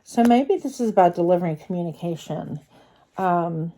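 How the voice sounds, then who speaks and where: A woman's voice: fairly low pitch, expressive, moderate pace, warm tone, a little hiss or hum, rough recording. A woman with a cold and a congested voice, giving a casual, chatty tarot reading to her viewers with frequent fillers and restarts. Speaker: tarot card reader.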